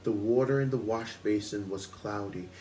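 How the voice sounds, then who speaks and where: A man's voice: fairly low pitch, normal speed, mellow. A man hosting a book club, reading aloud expressively at home in a storytelling style.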